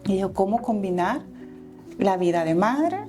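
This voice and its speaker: A woman's voice: mid-range voice, lively intonation, measured pace, over music. A Salvadoran woman in her forties, a guest entrepreneur telling her life story in an interview, earnest and reflective, with fillers and self-corrections.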